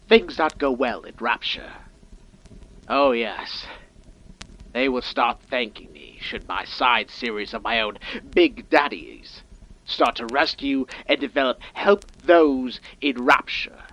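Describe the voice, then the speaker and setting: A man playing a coldly ambitious scientist who dictates an audio log in a pompous, deliberate manner. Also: mid-range voice, fairly bright tone, low-quality recording. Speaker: doctor. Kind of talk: audio diary monologue, game-style acting.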